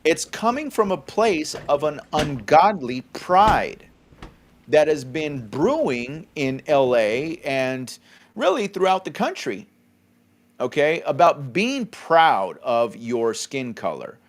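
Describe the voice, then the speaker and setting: A man's voice: a little high, sing-song, takes it slowly. A man in his forties from California, co-hosting a faith podcast, talking conversationally with many fillers and a frequent "okay?". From California, USA. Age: 40s. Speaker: podcast co-host. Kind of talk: faith-centered podcast commentary.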